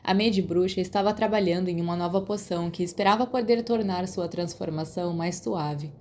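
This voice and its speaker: Female audiobook narrator, Brazilian accent, warm dark timbre, scripted fiction, light background noise. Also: lowish voice, a little fast.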